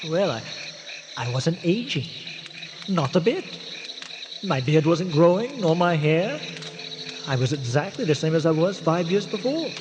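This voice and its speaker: Courtly old-fashioned explorer in cavernous reverb. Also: male voice, fairly high pitch, big pitch swings, a little slow, long pauses. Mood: earnest wonder. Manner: formal, old-fashioned, hesitant stammering. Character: eccentric, long-stranded scientist explorer, earnest and courtly. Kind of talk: radio drama acting. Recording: noisy radio drama, long cavernous reverb.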